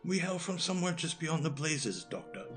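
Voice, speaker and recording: An actor playing a genial, sly old wizard who commands an institute, speaking in a theatrical, breezy, courtly way in an audio drama. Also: male voice, a little high, big pitch swings, normal speed, over music.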